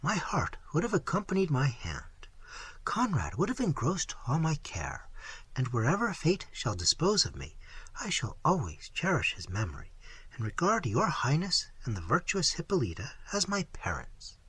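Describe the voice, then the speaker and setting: A man voicing a frightened young noble lady, soft and breathy, speaking in hushed tones. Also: on the high side, wildly swooping pitch, moderate pace, no echo, heavily acted. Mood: pleading.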